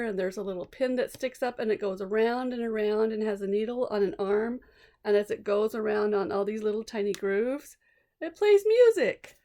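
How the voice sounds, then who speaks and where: Teacher lady w a high voice, chatting and explaining stuff to small kids. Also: a little mellow. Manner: chatty, asks the listener simple questions. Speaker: read-aloud teacher. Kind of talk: casual explaining to young children.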